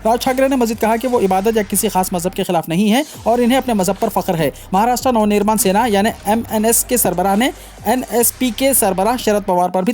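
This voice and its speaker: A man's voice: sits high, a little mellow. A man reading scripted news in a formal manner, delivering headlines and stories as a news reader.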